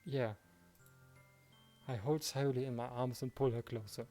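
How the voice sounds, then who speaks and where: A man's voice: medium pitch, fairly even intonation, a little fast, warm tone, soft background music. A man narrating a visual novel aloud for a let's play, mixed with casual commentary.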